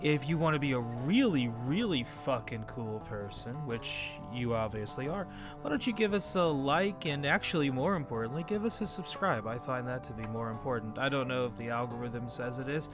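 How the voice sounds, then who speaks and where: A man from Texas hosting a podcast, relaxed and joking in casual chat. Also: mid-range voice, normal speed, over music. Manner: laid-back, joking, sprinkles in y'all. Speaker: podcast host.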